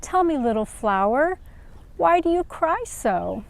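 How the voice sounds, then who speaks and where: Woman as a gentle, high-voiced rainbow sister. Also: sing-song, a little slow, vocal fry, full character voice. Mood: concerned. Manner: gentle, reassuring. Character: kindly, nurturing female rainbow spirit, big sister.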